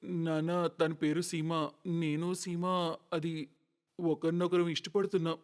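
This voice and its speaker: A man's voice: on the high side, little pitch movement, slow, smooth. A man voicing an earnest, respectful young adult son in a cartoon story, speaking hesitantly with long reverb around him. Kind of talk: cartoon moral story acting.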